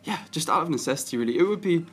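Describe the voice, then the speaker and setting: A man's voice: a little high, lively intonation, rapid-fire, a bit bright, slightly rough. A young man from England, a filmmaker guest, chatting casually with lots of hesitation and easy laughter. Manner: rambling, hesitant, laughs easily. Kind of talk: casual chat.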